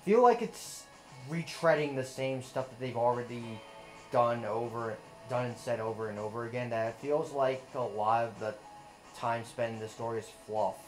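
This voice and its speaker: A man giving a measured, critical take during a casual let's play chat. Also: medium pitch, soft background music, low-quality recording. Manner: measured, critical, explains at length.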